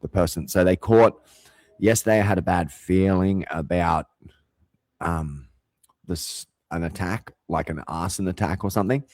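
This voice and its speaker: A man's voice: low-pitched, normal speed, darkish tone, no echo. A man delivering a rambling, profane rant as a vlogger. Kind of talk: rambling monologue.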